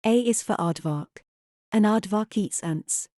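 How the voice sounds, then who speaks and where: A woman playing a gentle, composed young English mother, speaking in a measured way in an audio drama. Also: mid-range voice, no room sound, studio quality, lightly acted. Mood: gentle reading. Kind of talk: audio drama acting, reading aloud.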